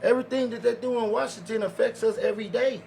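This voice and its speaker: A man's voice: extremely high pitch, fairly even intonation, fast, gravelly, a little mellow, a little hiss or hum. A man chatting casually as a podcast panelist, making his points emphatically and repeating words to press them. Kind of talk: casual chat.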